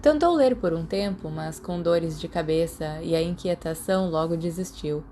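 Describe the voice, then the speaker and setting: Brazilian woman reading an audiobook story, kinda low voice, really mellow and dark tone. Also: normal speed, a little hiss or hum. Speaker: podfic narrator. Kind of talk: scripted audiobook narration of fiction.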